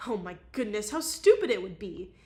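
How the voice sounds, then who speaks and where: A woman reading aloud, voicing an emotional young society woman with a high, excitable voice. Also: moderate pace, natural voice. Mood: agitated.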